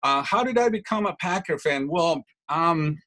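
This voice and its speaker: A man's voice: fairly high pitch, lively intonation, moderate pace, sharp tone. A middle-aged man from Mexico, telling long rambling stories as an interview guest. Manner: rambling, self-correcting storyteller. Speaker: guest fan. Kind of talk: casual interview answers.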